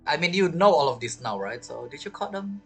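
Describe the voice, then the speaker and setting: Husky lady gamer reacting to a story, low voice, swings up and down, lots of questions. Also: animated, fairly quick, a bit bright, soft background music. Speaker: game streamer. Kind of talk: casual playthrough commentary.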